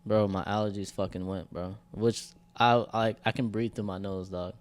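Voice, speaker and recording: A man's voice: fairly low pitch, level tone, moderate pace. A young man co-hosting a podcast, telling stories in loose, profane slang. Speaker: podcast co-host. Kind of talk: casual chat.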